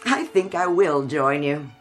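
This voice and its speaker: Low-voiced, tender wife. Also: female voice, animated, unhurried. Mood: tender, nostalgic. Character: affectionate long-married wife and mother, middle-aged. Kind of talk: radio drama acting. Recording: radio drama with music behind.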